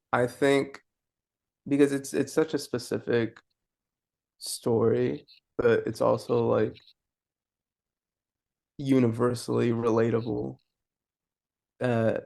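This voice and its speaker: A man's voice: medium pitch, vocal fry, a little mellow. A man chatting as a filmmaker guest in an interview, hesitant and often repeating words. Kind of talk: casual chat.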